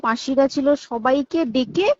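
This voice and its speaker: A woman's voice: sits high, measured pace, a little mellow, dry recording, muffled recording. A woman with a Kolkata Bengali accent recounting things in casual private conversation, explaining insistently and often checking that her listener follows. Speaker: hospital worker.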